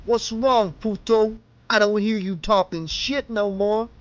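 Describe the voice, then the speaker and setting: A man's voice: high-pitched, steady tone, a little slow, muffled recording, voice pushed up. A man voicing a brutal, burly male street thug with a Spanish-accented English, harsh, profane and taunting. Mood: menacing. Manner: taunting, menacing, loud.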